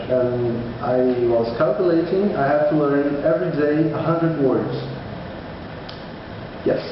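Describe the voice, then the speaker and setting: A man whose first language is Portuguese, speaking English in a casual vlog, hesitant and restarting often, laughing at himself. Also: mid-range voice. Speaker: vlogger. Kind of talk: casual study-update vlog. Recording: far-off echoey hall, dull lo-fi with noise.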